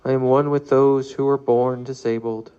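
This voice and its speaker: A man leading a guided meditation, reading aloud calmly in measured phrases with long pauses. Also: medium pitch, clear, resonant tone, lo-fi sound. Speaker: meditation leader.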